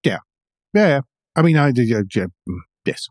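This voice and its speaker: A man's voice: mid-range voice, very animated, normal speed, darkish tone, no echo, studio quality. A middle-aged man co-hosting a podcast, chatting casually and wryly about the news. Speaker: podcast co-host. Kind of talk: casual discussion of car news.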